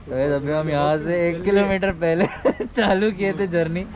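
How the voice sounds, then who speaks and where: A young man chatting casually to camera at a roadside stall with friends, relaxed and jokey. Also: fairly high pitch, moderate pace, muffled recording, crowd noise. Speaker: motovlogger. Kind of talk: casual vlog chat.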